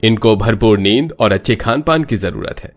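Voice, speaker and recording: A man's voice: lowish voice, expressive, moderate pace, faint music behind. A man voicing a serious, concerned doctor in a storybook tale, formal and explanatory. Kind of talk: storybook character acting.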